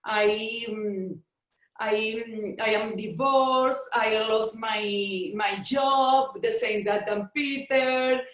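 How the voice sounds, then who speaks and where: A woman from Venezuela with a Venezuelan Spanish accent, a native Spanish speaker telling a personal story in halting, repetitive, earnest English on a video call. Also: fairly high pitch, fairly even intonation, fairly bright tone, echoey room, poor audio. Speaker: guest speaker, Camino tour company founder.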